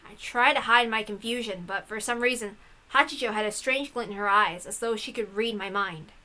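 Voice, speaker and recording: Woman acting a bright-voiced troubled young heroine. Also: mid-range voice, fairly quick, lightly acted, rough recording. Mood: uneasy.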